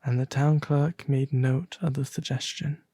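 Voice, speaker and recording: A man playing a melancholy, genteel owl demon prince, reading a storybook aloud in a soft, refined, breathy ASMR voice. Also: mid-range voice, flat delivery, unhurried, no room sound, natural voice. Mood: gentle, sombre. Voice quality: breathy, soft, often half-whispered. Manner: refined, gentle, formal diction.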